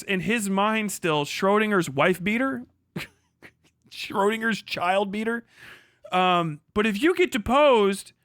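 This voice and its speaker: A man hosting a casual commentary stream, explaining a topic in a profane, animated, rambling way. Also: high-pitched, no echo, studio quality. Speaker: streamer host.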